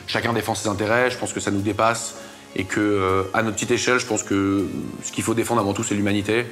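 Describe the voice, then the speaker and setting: Brief: young man in his twenties, lowish voice, measured interview answers, faint music. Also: level tone, a bit bright. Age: 20s. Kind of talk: documentary interview testimony.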